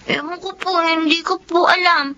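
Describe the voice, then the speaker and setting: Meek, polite Filipino girl; very high, bright voice, radio serial with dull sound. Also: fairly even intonation, normal speed. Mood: worried. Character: dutiful, timid young daughter, worried and polite. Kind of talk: Filipino radio drama acting. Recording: dull audio with faint noise.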